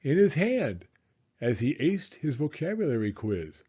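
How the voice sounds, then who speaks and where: A man reading a children's story aloud in a theatrical, suspenseful way with drawn-out emphasis. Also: mid-range voice, sing-song, warm tone, breathy edge, lo-fi sound.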